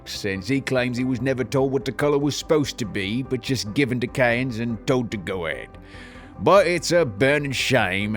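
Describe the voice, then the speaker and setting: An actor playing a stern, blunt, indignant village magistrate in a dramatized audiobook. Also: male voice, medium pitch, close to an everyday voice. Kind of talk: dramatized audiobook character acting.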